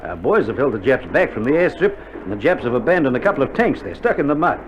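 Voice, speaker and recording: Breezy Australian army doctor played by a man, very animated. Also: medium pitch, quick pace, lightly acted. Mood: breezy, reassuring. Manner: casual, slangy, playing down danger. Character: breezy, reassuring male army doctor in wartime field hospital. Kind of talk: 1960s radio drama acting. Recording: muffled old vinyl drama, loud battle noise.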